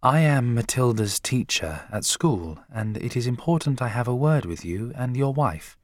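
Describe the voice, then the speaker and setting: Man voicing an earnest, raised-pitch lady teacher. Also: expressive, moderate pace, no echo, clean studio sound, natural voice. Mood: polite. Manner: earnest, well-mannered, firm. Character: polite, resolute, slim young woman schoolteacher.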